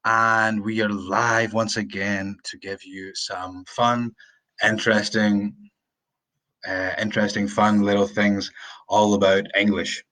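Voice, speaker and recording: A Scottish man in his thirties giving a live-streamed pronunciation lesson, chatty and rambling, repeating words and sounding them out slowly. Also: fairly low pitch, level tone, pushed and bright, poor audio. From Scotland. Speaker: English teacher. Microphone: phone or webcam on a live stream.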